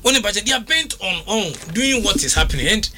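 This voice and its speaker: A man's voice: high voice, a little fast, noisy background. A man arguing about football as a pundit, mixing languages and hesitating with repeated fillers. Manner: animated, mixes languages, stammers eh. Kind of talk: heated sports discussion.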